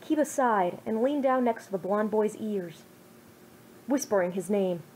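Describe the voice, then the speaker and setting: A woman's voice: mid-range voice, expressive, measured pace, a little mellow, a little hiss or hum, rough recording. A woman in her twenties, a streamer reading her own old fan fiction with self-mocking, over-the-top jokes. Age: 20s. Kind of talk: casual chat then dramatic story reading.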